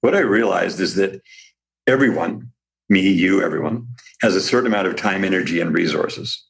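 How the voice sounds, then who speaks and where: Deep, gravelly man, slightly raspy. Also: moderate pace. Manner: rambling, animated storyteller. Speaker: guest, supplement business owner. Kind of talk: casual podcast conversation.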